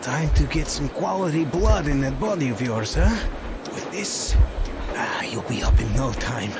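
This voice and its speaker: An actor playing a jovial, encouraging comrade in a video game, with a folksy, chummy way of talking. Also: male voice, medium pitch, expressive, measured pace, loud music behind the voice. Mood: jovial, reassuring.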